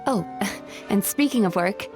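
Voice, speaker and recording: A woman voicing a gentle, polite young female dream-eating spirit in a video game. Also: medium pitch, expressive, unhurried, over music, natural voice. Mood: composed. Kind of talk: animated game voice acting.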